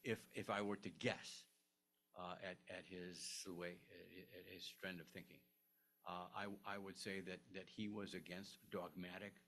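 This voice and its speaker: An older man, a historian, answering audience questions at a lecture, halting with many restarts and fillers. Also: sits low, a bit bright, a little hiss or hum. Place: lecture room.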